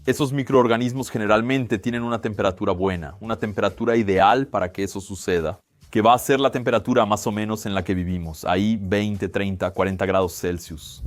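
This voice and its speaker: A man hosting a casual science explainer, chatty and lively with quick exclamations. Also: on the low side, no room sound, faint music behind. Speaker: science video host.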